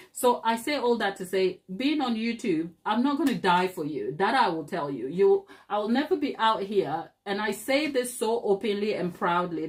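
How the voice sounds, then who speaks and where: A woman's voice: mid-range voice, rough recording. A woman from Ghana with a Ghanaian accent, whose first language is not English, chatting emphatically to viewers on a live stream as a cake maker and channel host. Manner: emphatic, talks straight to viewers, rhetorical questions.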